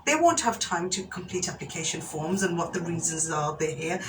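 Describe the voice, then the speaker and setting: A woman's voice: fairly low pitch, expressive, a little fast, background noise. A woman, a guest lawyer on a radio discussion, explaining things earnestly and firmly.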